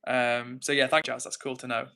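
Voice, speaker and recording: Bright-voiced, chatty English man livestreaming. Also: medium pitch, little pitch movement, normal speed, gravelly, clean tone. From England. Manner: chatty, informal, rambling, thinks aloud. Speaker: livestream host. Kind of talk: casual live chat reading out comments.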